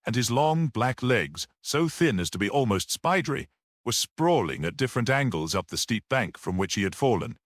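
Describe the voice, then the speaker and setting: A man reading a gothic mystery story as an audiobook narrator, in a measured, expressive literary manner. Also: mid-range voice, no echo, clean studio sound.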